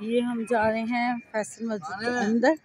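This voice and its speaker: A woman with a high voice narrates a casual walking vlog. She speaks informally, pointing out what is around her. It sounds like a phone recording in a busy open-air space, with clear crowd noise behind her. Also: level tone, moderate pace. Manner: informal, chatty pointing out of surroundings. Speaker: vlogger. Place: large busy open-air mosque courtyards and grounds. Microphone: handheld phone, speaker behind camera. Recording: outdoor phone recording amid crowd chatter. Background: crowd of visitors.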